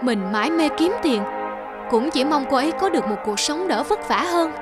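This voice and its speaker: Earnest, devoted cartoon husband. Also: male voice, very high voice, moderate pace, loud music behind the voice. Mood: shocked, hurt. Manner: earnest, expressive. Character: devoted, hard-working husband, earnest and emotional. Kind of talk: cartoon drama acting.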